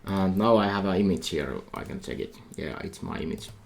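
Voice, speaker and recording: A middle-aged man with a Finnish accent, a university lecturer talking through a coding tutorial while he types, thinking aloud in halting phrases. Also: low voice, expressive, creaky. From Finland. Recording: faint noise with keyboard typing.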